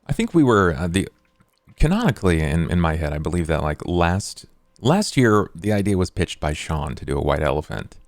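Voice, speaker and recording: A man's voice: low-pitched, sing-song, moderate pace, dry recording, studio quality. A man playing in a tabletop role-playing game, answering thoughtfully and restarting his phrases. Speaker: player.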